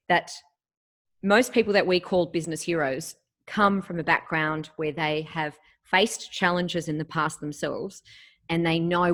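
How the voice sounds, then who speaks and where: A woman, hosting an interview and asking long, emphatic questions. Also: on the low side. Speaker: interviewer, host.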